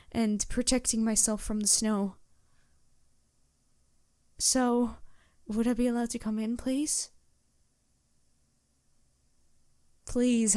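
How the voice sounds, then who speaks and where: A woman's voice: a little high, mellow, dry recording, clean studio sound, natural voice. A woman playing a polite, anxious vampire posing as a traveling merchant in a fantasy audio roleplay, speaking hesitantly with small stammers and courteous apologies. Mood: anxious begging. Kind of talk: fantasy roleplay audio drama, addressing the listener.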